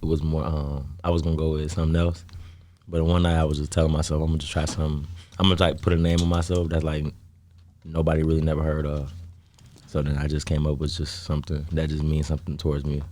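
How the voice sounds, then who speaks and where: Very deep, flat-voiced young man. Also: quick pace, a little hiss or hum. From South Holland, south suburbs of Chicago. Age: young adult. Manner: low-key, hesitant, many "um" and "like". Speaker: guest musician. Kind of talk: casual interview chat.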